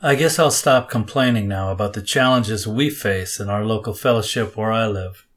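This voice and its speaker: A man's voice: fairly low pitch, moderate pace. Man hosting a podcast, reading a script. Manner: steady, read-from-script delivery. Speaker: podcast host.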